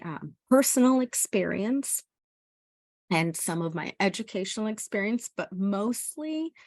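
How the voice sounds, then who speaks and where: A woman's voice: medium pitch, a little mellow, no room sound. A middle-aged woman from El Salvador giving an informal educational presentation as a parent, speaking in a hesitant, earnest way.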